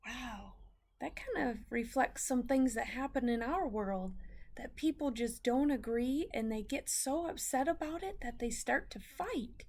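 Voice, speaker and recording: A woman teacher reading a story aloud to children, explaining it deliberately as she goes. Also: fairly high pitch, darkish tone.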